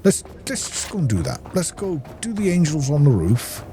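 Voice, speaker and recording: A man's voice: a little high, huge pitch swings, moderate pace, mellow, a little hiss or hum. A man giving casual gameplay commentary, muttering to himself as he plays. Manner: muttering to himself while playing. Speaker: gamer, video creator.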